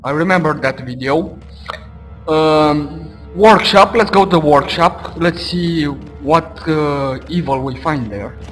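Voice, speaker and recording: A man with a Romanian background giving loud, goofy commentary while playing a horror game, taunting the enemies. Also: a little high, slow. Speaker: let's play gamer. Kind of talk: casual gameplay commentary. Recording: roomy reverb with game music behind.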